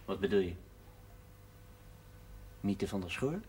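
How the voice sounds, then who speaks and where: An actor playing a blunt, stern village lawman in naturalistic television drama dialogue, matter-of-fact and husky. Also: deep voice, lively intonation, slow, darkish tone, a little hiss or hum.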